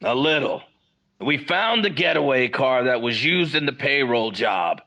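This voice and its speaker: An actor playing a calm police captain who advises his detectives in a steady, firm way, in 1940s radio acting style. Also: mid-range voice, measured pace. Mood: advising.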